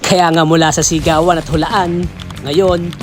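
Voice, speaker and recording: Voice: medium pitch, flat delivery, a little slow. Filipino narrator over music. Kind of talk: scripted promotional storytelling narration.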